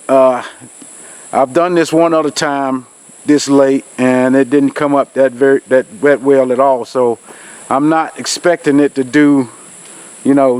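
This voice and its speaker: A man's voice: medium pitch, a little mellow, smooth. A man, nasal from a cold, rambling in a folksy way as he talks to a camera on a tripod, out in a rural field. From Georgia, US. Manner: rambling, folksy, with many fillers. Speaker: outdoors channel host. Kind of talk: casual vlog talk to camera.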